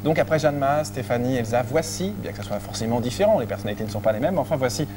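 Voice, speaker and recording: Man hosting a TV studio interview, rapid and chatty, over a noisy 1980s broadcast tape. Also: mid-range voice, animated. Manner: brisk, chatty, quick with asides. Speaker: TV host. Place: TV studio set. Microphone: lapel mic.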